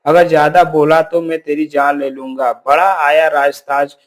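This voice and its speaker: Cartoon hunter, male actor: somewhat high-pitched, stubborn, complaining, self-justifying villager. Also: flat delivery, a little slow, close to an everyday voice. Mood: gloating, threatening.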